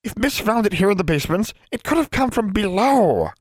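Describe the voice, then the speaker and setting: A man voicing a wizened old female house elf servant, anxious and stern, high-pitched and speaking of herself in the third person. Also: sing-song, a little fast, dry recording. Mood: stern, pained.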